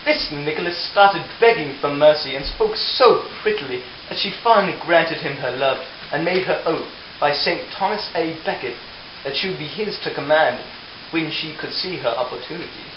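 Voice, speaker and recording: Echoey high-voiced male storyteller. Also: moderate pace, background noise. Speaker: narrator. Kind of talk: scripted storybook narration for a puppet show. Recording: echoey, dull lo-fi room with music. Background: music.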